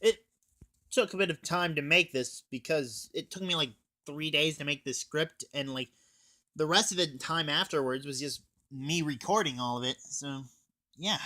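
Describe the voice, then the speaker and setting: A man's voice: fairly high pitch, moderate pace, pushed and bright. A young man giving a casual, scripted anime review, talking informally and swearing now and then. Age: teens or young adult. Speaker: video reviewer.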